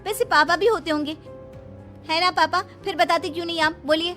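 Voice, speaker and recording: A woman's voice: very high voice, fairly even intonation, a little fast, bright, forceful tone, faint music behind. A young woman voicing a talkative, bossy but caring teenage big sister who scolds and fusses in a moral story. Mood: worried nagging. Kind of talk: acted moral story dialogue.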